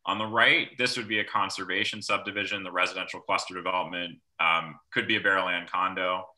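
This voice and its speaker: Young male planner, low pitch, sharp forceful tone, halting informal explainer over video call. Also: steady tone, rough recording. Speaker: rural planner presenting at a public meeting. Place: small room at home, on a video call. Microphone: computer microphone on video call.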